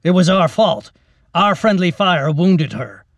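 A man narrator voicing a principled army captain who speaks loudly and gives firm orders. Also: sits high, lively intonation, measured pace, vocal fry, dry recording, pitched above the performer's own voice, heavily acted.

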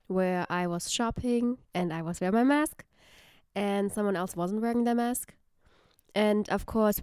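A young woman talks reflectively in a podcast discussion. Her voice is strikingly clear and smooth, at a middle pitch, recorded dry with very little room around it. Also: darkish tone, no echo. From Germany. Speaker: podcast guest. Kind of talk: reflective discussion, personal anecdote.

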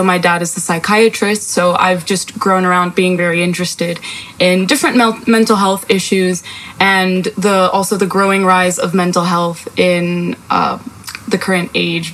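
A woman's voice: medium pitch, a bit bright, slight background noise, rough recording. A woman in her twenties from Spain with an American accent, a podcast guest talking in a hesitant way with lots of fillers. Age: 20s. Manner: hesitant, filler-heavy, laughs easily. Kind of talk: casual podcast chat.

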